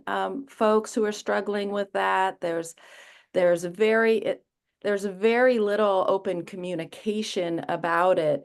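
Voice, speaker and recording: A woman author on a panel, speaking in a thoughtful and measured way. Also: lowish voice, creaky. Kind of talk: reflective conversation.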